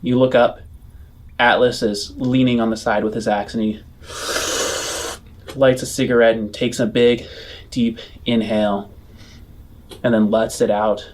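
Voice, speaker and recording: A man runs a tabletop game as game master. His middle-pitched voice lays out scenes descriptively and haltingly, made up as he goes. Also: level tone, slight background noise. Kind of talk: improvised tabletop game narration.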